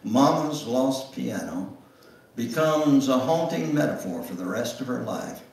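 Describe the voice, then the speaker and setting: The recording is very reverberant and a little distant, as in a church. An older man reads a memoir dramatically. His delivery is slow and deliberate, at a middling pitch. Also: lively intonation, vocal fry, darkish tone. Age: older, 70s or above. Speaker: guest speaker reading his memoir. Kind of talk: dramatic memoir reading to a congregation. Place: church sanctuary, standing at music stand. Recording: echoey church room, distant microphone.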